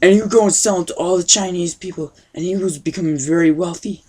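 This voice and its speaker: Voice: mid-range voice, quick pace, dark tone, lightly acted. An amateur performer voicing an old grandpa who tells a tale in a rambling, folksy way with youthful slangy phrasing. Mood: rambling storytelling. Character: totally wicked awesome old grandpa telling a tale. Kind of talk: rambling improvised storytelling.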